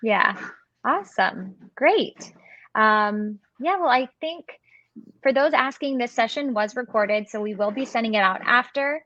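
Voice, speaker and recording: A woman hosting a webinar, upbeat and affirming with her guest. Her voice sits a little high, and it comes over a dry, slightly muffled video call. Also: animated, unhurried, sharp tone, no room sound. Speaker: webinar host. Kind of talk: hosted webinar interview.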